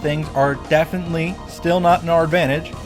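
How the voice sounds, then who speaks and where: A man's voice: on the high side, steady tone, a little fast. A man narrating a story recap in a casual, wry way with dramatic emphasis. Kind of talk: casual scripted narration of a visual novel recap. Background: background music.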